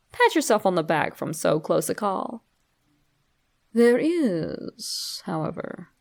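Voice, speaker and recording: A woman narrates a creepy story as if speaking right to you. Her delivery is slow and dramatic, with a strong fry in a mid-pitched voice. She reads deliberately, like a storyteller laying out instructions. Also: darkish tone. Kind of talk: scripted creepypasta narration, addressed to the listener.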